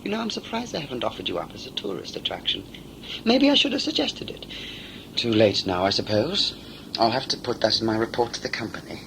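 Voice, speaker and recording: A man's voice: medium pitch, very animated, brisk, gravelly, a bit bright, slight background noise, poor audio. A man playing a smug, talkative villain in a sci-fi audio drama, a covert saboteur who is condescending and mock-polite. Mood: gloating.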